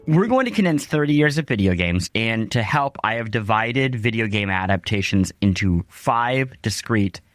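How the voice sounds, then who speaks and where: A man's voice: on the low side, expressive, normal speed, hardly any pauses, fairly bright tone. A man hosting a podcast, reading scripted narration in an articulate, emphatic way. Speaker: podcast host.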